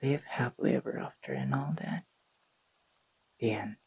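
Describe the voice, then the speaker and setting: A performer voicing a gentle, teasing young boyfriend in an intimate sleep-aid roleplay, speaking softly and tenderly with a breathy, slightly husky voice. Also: mid-range voice, moderate pace, a little hiss or hum, rough recording. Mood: slow storytelling. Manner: tender, playful, murmured delivery.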